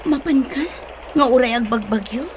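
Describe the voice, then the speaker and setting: Woman playing a high, meek young wife. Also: normal speed, background noise, natural voice. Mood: meek, concerned. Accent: Ilocano. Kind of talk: Ilocano radio drama acting.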